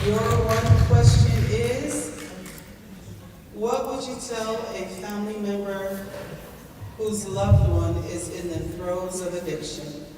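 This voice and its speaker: A man emceeing a pageant, announcing contestants in a booming, drawn-out hype style. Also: fairly even intonation, background noise. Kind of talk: stage announcing. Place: large indoor hall with audience. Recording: echoey hall from far off with music and crowd.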